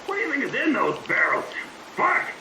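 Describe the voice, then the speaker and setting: A man's voice: very high-pitched, very animated, brisk, reverberant, noisy background, exaggerated voice. A male actor playing a manic, mocking, foul-mouthed hacker saboteur in a video game. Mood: sardonic bravado. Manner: brash, mocking, swearing freely. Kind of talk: dramatic video game voice acting.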